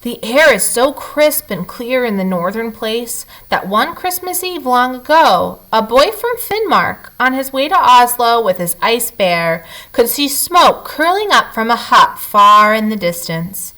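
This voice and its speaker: A woman storyteller with a fairly high, bright voice reads a children's picture book. Her pitch moves in lively swoops, and she leans into the drama for young listeners. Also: a little slow, few pauses, poor audio. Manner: animated, dramatic emphasis, addressing young listeners. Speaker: read-aloud storyteller. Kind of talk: expressive children's picture-book read-aloud.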